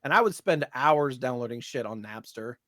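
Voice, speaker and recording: A man's voice: mid-range voice, animated, moderate pace, a bit bright, no room sound, clean studio sound. An American man in his thirties chatting casually and in slang as a podcast host. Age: 30s. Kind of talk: casual chat about retro gaming.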